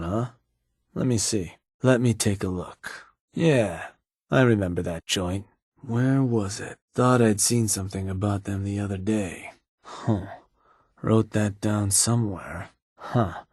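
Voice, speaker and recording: An actor playing a laid-back, businesslike raider fixer in a video game, with a husky voice and a casual, wry, streetwise manner. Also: male voice, fairly low pitch, darkish tone, no echo, clean studio sound, full character voice. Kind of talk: in-game character dialogue, conversational delivery.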